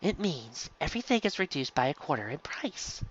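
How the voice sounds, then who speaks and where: A narrator voicing a kindly, patient adult female pony schoolteacher with a gentle, measured, advising manner in a storybook reading. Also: mid-range voice, very animated, breathy edge, lo-fi sound. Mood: patient, helpful.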